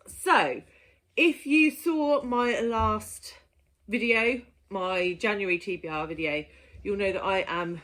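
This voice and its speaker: A woman's voice: mid-range voice, a bit bright. Chatty woman book vlogger. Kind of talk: casual chat to camera.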